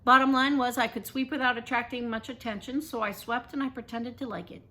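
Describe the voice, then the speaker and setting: A woman reading a children's novel aloud as its author, in an animated, dramatic first-person storytelling style. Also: on the high side, fairly quick, a little hiss or hum.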